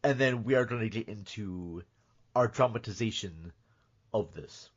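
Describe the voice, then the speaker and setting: A man's voice: lowish voice, normal speed, breathy edge. A man hosting a podcast in casual, joking, sometimes mock-serious chat. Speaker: podcast host. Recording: dull, band-limited podcast audio.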